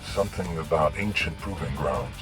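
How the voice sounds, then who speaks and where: A self-assured military commander, low in pitch, speaking with terse authority. It is game cutscene acting with music clearly behind it. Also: male voice, normal speed. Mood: businesslike.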